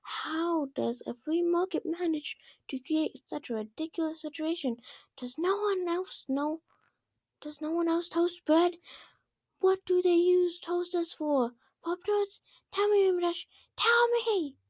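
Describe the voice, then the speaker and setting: A woman's voice: extremely high pitch, a little slow, no room sound, clean studio sound, voice pushed up. A woman voicing an enraged young pony princess, ranting in a melodramatic, exasperated way in an amateur story reading. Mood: frantic outrage. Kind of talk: amateur fan fiction character reading.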